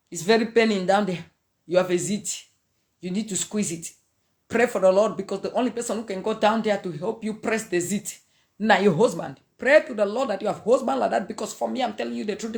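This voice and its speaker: A woman in her thirties from Zambia with a Zambian accent, talking in a lively, casual preachy way to a phone camera in a small room, often laughing and mixing in pidgin phrases. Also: mid-range voice, creaky. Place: small indoor room, seated on a sofa. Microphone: phone camera at arm's length.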